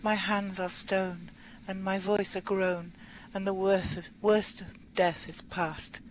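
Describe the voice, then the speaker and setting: A woman reading poetry aloud in an expressive, measured and dramatic manner. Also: mid-range voice, slight background noise, poor audio. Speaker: poetry reader.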